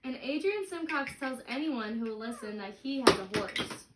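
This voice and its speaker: A woman's voice: high-pitched, expressive, normal speed. A woman reading a picture book aloud expressively to a child, as if leading a read-aloud lesson. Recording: roomy, distant mic, clicks and clear noise.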